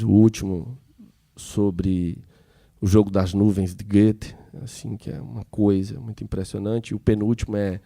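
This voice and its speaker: A low, dark-toned man with a Piauí Brazilian accent opens a museum seminar. His voice has a gravelly edge, and he talks in a halting way, restarting phrases and slowing down on names. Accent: Piauí Brazilian Portuguese. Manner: halting, many restarts and fillers, slows on names. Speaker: seminar organizer and moderator. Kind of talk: spontaneous public speech at a seminar.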